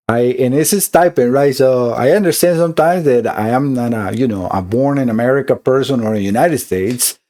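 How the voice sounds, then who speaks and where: A man's voice: mid-range voice, normal speed, dark tone. A middle-aged man from Costa Rica with a Costa Rican Spanish accent, conversationally explaining an electronics repair as a technician, with many fillers and restarts.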